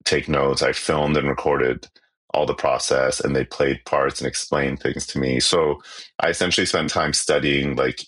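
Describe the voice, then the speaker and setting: A Canadian musician, a native Cantonese speaker, talking casually in an interview with many fillers and restarts. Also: male voice, very deep voice, fairly even intonation, normal speed, fairly bright tone.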